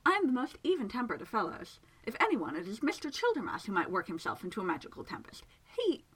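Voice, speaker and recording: A woman voicing a prim, even-tempered gentleman village magician who speaks with precise, polite formality. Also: a little high, quick pace, slightly rough, exaggerated voice, low-quality recording.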